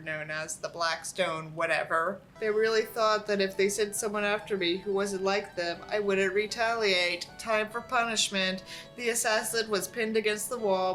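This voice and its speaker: A woman's voice: medium pitch, fairly bright tone, rough recording. A woman who sounds tired and under the weather, reading aloud and commenting in a casual, sarcastic, weary way. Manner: sardonic, weary, swearing. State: tired, feeling unwell. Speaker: host reading and mocking a book.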